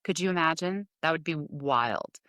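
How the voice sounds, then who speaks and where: A woman hosts a podcast interview in a slightly low voice. She asks questions in an enthusiastic, rambling way. The recording is close and dry. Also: lively intonation, normal speed, a bit bright, no echo. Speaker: podcast host. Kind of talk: conversational interview.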